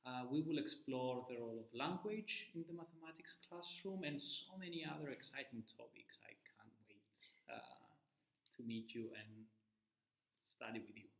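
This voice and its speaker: A man from Cyprus who lectures in primary mathematics, introducing his part of a course in a measured way, with frequent uh pauses. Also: mid-range voice, animated, vocal fry, a bit bright, roomy sound, low-quality recording.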